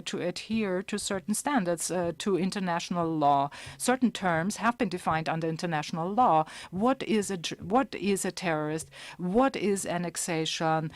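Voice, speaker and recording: A woman with a low voice speaks haltingly, with many pauses and fillers. The recording is dry, with little room sound. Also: no room sound. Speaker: conference participant. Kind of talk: public statement at a conference.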